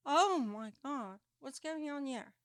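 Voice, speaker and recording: A woman's voice: sits high, a little hiss or hum. Emphatic South African woman preacher. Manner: animated, emphatic, drawn-out stresses. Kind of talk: sermon teaching.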